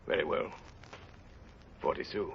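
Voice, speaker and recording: A man acting a kindly, gentle poor traveller in an old radio drama, hesitant and fatherly. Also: measured pace, close to an everyday voice. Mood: quietly firm. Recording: dull, faintly noisy old radio recording.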